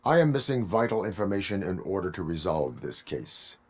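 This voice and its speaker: A man voicing a stoic cyborg police officer in a video game, speaking in a formal, stilted, deadpan way. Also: low-pitched, a little fast. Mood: stern, measured.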